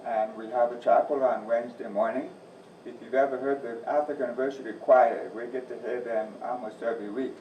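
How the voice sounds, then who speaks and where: A man in his sixties from Northeast Iowa with a softened Midwestern accent, giving a plain, folksy talk with wry asides. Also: mid-range voice, steady tone, brisk, slight background noise. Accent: softened Midwestern American. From Northeast Iowa, USA. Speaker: agriculture teacher and farm advisor. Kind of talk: presentation to a church audience. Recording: distant, echoey, muffled room recording.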